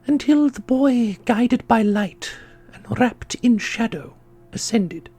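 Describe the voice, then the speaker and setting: Woman playing a husky, sorrowful, dignified ancient custodian. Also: on the high side, animated, faint music behind, heavily acted. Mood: sorrowful, solemn. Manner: formal, measured, gentle. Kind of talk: fantasy audio drama roleplay.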